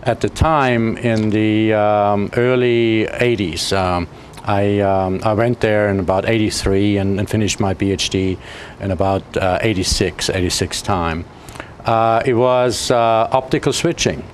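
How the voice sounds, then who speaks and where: Austrian man, 50s, low-pitched, hesitant guest, faint hiss. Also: steady tone. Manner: halting, many fillers and restarts. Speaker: physics professor, guest. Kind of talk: interview answers.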